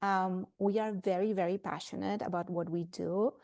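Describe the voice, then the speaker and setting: A woman's voice: medium pitch, steady tone, moderate pace, vocal fry, darkish tone, no room sound, studio quality. A woman with a Spanish accent presenting a webinar as a fertility coach, earnest and emphatic, repeating words for stress. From Spain.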